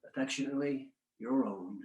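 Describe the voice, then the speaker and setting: Casting: a man portraying a solemn Civil War-era statesman and husband, formal letter-reading style, measured, slightly halting, mid-pitched, roomy echo. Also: a little mellow, lightly acted. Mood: solemn, reassuring. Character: 19th-century statesman husband writing solemn wartime letters to wife. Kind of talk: dramatic reading of historical letters. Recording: echoey, roomy sound.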